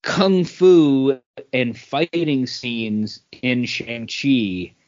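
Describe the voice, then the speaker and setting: A man's voice: medium pitch, animated, drawn-out words, darkish tone, no echo. Male podcast co-host, mid-range voice, loose rambling talk, dry and slightly dull recording. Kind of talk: casual, rambling chat about comic book movies.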